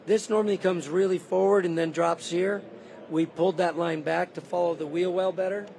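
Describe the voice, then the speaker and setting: A car builder who is losing his voice, calmly giving a detailed walkaround of a custom car on a show floor. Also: high-pitched, moderate pace. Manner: detailed, matter-of-fact.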